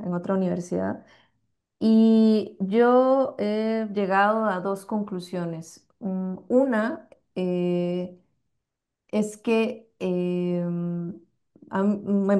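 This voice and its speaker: Una mujer de con acento costarricense, conferencista que responde con calma a una pregunta del público, buscando las palabras entre muletillas. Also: female voice, mid-range voice. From Costa Rica. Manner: thoughtful, searching for words with many fillers. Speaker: guest lecturer. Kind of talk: answering an audience question.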